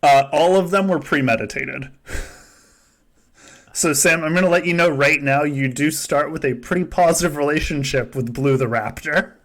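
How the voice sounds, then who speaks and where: A man's voice: on the high side, normal speed, a bit bright. A man hosting a casual podcast game, chatting and joking with frequent swearing and laughter. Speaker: podcast host. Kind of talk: casual chat running a simulated reality-show game.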